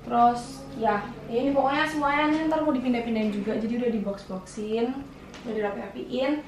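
High-pitched young woman in echoey rooms. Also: fairly even intonation, a little fast. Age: young adult. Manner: informal, playful, moving around as she talks. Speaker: vlogger giving a house tour. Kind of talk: casual house-tour vlog chat. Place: indoors, rooms of a house. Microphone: handheld camera mic at some distance. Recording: echoey rooms, distant camera mic, noisy.

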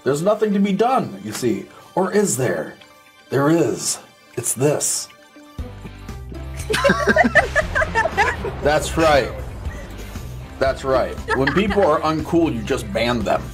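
A man's voice: mid-range voice, big pitch swings, slow pace, long pauses. A man giving a joking mock lecture on a group stream, crude and giggly. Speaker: streamer giving a joke slideshow talk. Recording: music playing behind.

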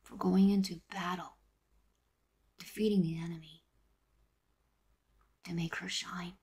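A woman voicing a teasing, lovelorn teenage superhero boy in a fan audio drama, whispering haltingly. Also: fairly low pitch, flat delivery, darkish tone, breathy edge. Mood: wistful.

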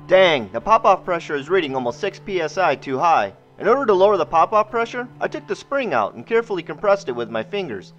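Male DIY narrator, high voice with lively pitch swings, scripted tutorial delivery. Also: fast talker, few pauses. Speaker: DIY host. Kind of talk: scripted how-to voice-over narration. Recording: muffled, band-limited voice-over with music. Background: music.

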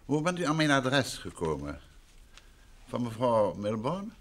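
A man acting a self-assured gentleman detective in an old Dutch radio drama, with a brisk, questioning manner. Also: mid-range voice, very animated. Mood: probing. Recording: noisy vintage radio drama recording.

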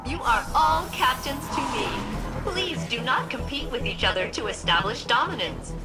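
An unstable female spaceship computer from a video game. She has a high, animated voice that flips between prim cheer and sullen sarcasm. Loud music and game effects play behind her. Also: normal speed. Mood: chirpy, formal. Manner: swings between perky formality and dry sarcasm. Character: unhinged female ship AI, chirpy turning sullen and sarcastic.

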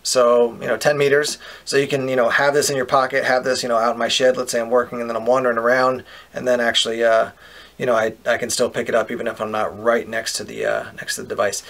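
Man, gravelly, mid-pitched, casual product demo, outdoors. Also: quick pace. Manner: relaxed, explains step by step with fillers. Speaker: product reviewer. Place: outdoors on a paved patio.

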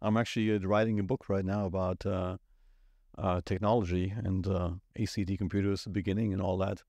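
A man in his forties with a German accent, chatting casually on a podcast in a small studio, relaxed and rambling with plenty of fillers. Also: low-pitched, fairly quick, no echo. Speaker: IT company owner, podcast co-host. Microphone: studio mic on boom at the mouth.

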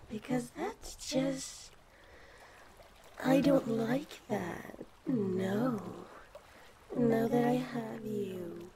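A woman playing a giant, possessive female kraken in a sweetly menacing, coaxing ASMR roleplay, with drawn-out, intimate delivery. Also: medium pitch. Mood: adoring, possessive.

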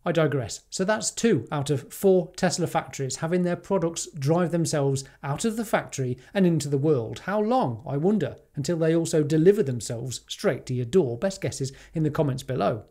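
A man's voice: on the high side, expressive, moderate pace, hardly any pauses, mellow. A British man in his forties, a tech YouTuber giving scripted, enthusiastic commentary to camera from a home studio, with rhetorical questions and wry asides. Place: home studio with neon sign.

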